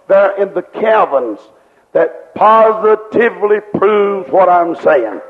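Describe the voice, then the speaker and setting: Man, preacher, very high voice, rhetorical questions, long reverb of a hall. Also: narrow, tinny audio. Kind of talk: sermon.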